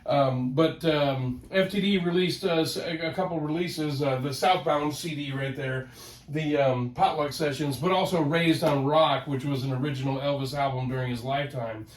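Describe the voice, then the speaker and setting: Man, nasal with a head cold, excitable, rambling, home office, distant mic, echoey room. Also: a little high, normal speed. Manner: excitable, rambling, repeats words. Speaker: collector vlog host. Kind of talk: casual rambling vlog talk. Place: home office, ten-foot ceiling.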